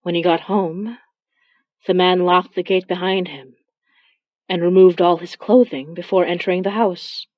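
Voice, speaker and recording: A woman's voice: fairly low pitch, fairly even intonation, measured pace, no echo, highs cut off, lightly acted. A woman playing a weary, anxious young woman, speaking in a hesitant, confiding way in an intimate audio drama. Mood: somber, reflective.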